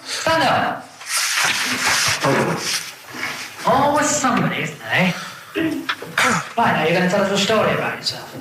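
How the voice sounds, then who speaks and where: An actor playing an impatient, commanding schoolteacher in a 1960s British film drama. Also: male voice, high voice, wildly swooping pitch, brisk. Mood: impatient, stern. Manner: commanding, questioning. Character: brisk, impatient male schoolteacher questioning a pupil. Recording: echoey, noisy old film soundtrack.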